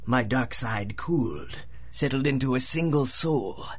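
Husky, vengeful supervillain. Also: male voice, mid-range voice, animated. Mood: bitter, haunted. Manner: theatrical, menacing, deliberate. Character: vengeful male supervillain, grandiose, bitter, unhinged. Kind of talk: 1930s-style radio drama acting.

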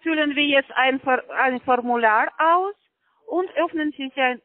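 A woman's voice: high voice, measured pace, no echo. A woman working as a call center agent on a phone call, talking in broken grammar with constant hesitant fillers. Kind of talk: phone sales pitch. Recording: tinny dry phone line.

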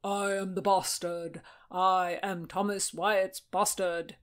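A woman playing a jaunty, boastful Tudor gentleman. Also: sits high, level tone, slow pace, clear, resonant tone. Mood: grandly intoning. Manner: flourishing, grandly self-announcing, cajoling. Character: jaunty, boastful, unflappable bastard gentleman uncle in Tudor London. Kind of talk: audiobook character dialogue.

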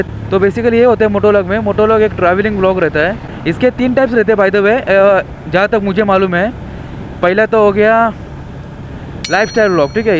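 A young man, chatting casually and rambling as he rides, mixing in English, recorded on a helmet camera. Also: sits high. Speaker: motovlogger. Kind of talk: casual chat while riding. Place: on a motorbike on city roads. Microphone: helmet action camera. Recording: dull lo-fi camera audio with faint traffic noise.

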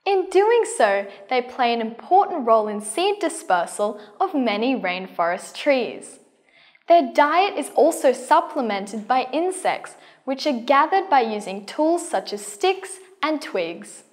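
Young woman, science presenter. High-pitched, very animated intonation, careful scripted delivery. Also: a little slow. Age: young adult. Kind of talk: scripted educational narration to camera. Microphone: lapel.

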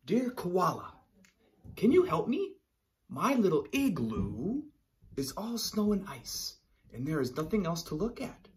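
A man voicing a friendly, wistful polar bear from a children's storybook, expressively and with drawn-out words. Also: high voice, huge pitch swings, pitched above the performer's own voice, full character voice. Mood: pleading, fed up.

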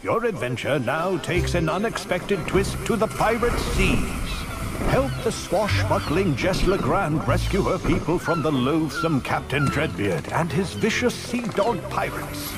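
A man's voice: a little high, sing-song, measured pace. A man voicing a wise old wizard mentor in a fantasy video game, grand, solemn and earnest. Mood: solemn, urging. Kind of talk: epic fantasy game narration. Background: loud music.

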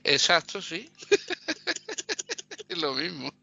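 A man with a gravelly voice, co-hosting a podcast and mocking a film in sarcastic casual chat, laughing often. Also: sits high, huge pitch swings, drawn-out words, noisy background. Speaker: podcast co-host. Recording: dull lo-fi podcast audio.

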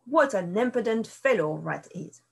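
A woman voicing a clever, cheerful male fox in a storybook, brisk and cheerful. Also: mid-range voice, lively intonation, creaky, breathy edge.

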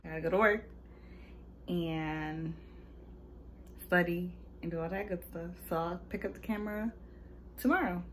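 A woman's voice: on the low side, normal speed, long pauses, fairly bright tone, a little hiss or hum. A young adult woman with an American accent, chatting casually to camera in an informal, slangy way as a vlogger.

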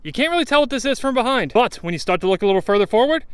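Texan male vlogger, high pitch, wry handheld walkaround outdoors. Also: animated, fairly bright tone, clear, resonant tone, dry recording. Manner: wry, candid, quick asides. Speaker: salvage car buyer and vlogger. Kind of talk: casual walkaround commentary. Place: outdoor salvage auction lot. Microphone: handheld camera at arm's length.

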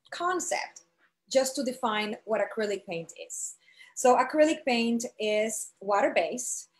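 Woman instructor explaining in halting phrases. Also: on the high side. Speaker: art college instructor giving a product demonstration. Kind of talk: live instructional demo, explanatory.

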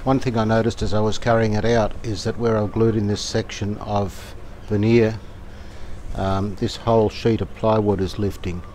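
An older man calmly talking through a restoration job outdoors, thinking aloud as he works. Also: lowish voice, slight background noise. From Australia. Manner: thinking aloud, calm and unhurried, plain-spoken with fillers. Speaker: radio restorer, channel host. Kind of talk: casual hands-on workshop narration. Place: outdoor verandah in the garden.

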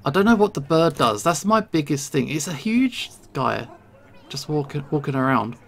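Let's play streamer, mid-range creaky voice, nervous self-talk. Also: mid-range voice, big pitch swings, moderate pace, a little hiss or hum. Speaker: video game streamer.

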